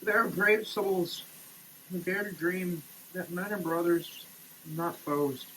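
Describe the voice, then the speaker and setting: A man giving a theatrical, declamatory dramatic reading, swinging between hushed delivery and shouting, as a comic performance. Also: high voice, slow pace, a little hiss or hum, poor audio. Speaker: sketch comedy performer. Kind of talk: dramatic reading of a product label. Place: shower.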